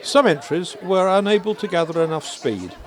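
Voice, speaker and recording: Lively, higher-voiced man narrating over crowd. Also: moderate pace. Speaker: newsreel narrator. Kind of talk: scripted event narration.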